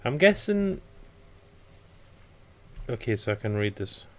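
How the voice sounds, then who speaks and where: Croaky man thinking aloud over gameplay. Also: medium pitch, sing-song, slow, a bit bright. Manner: rambling with fillers, thinking aloud. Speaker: let's play commentator. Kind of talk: casual gameplay commentary.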